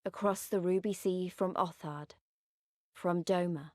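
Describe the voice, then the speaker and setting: A woman playing a wistful, reflective storyteller, narrating a video game cutscene in a measured way. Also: on the low side, fairly even intonation, no room sound, clean studio sound, natural voice. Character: wistful woman narrator recalling a far-off homeland sea.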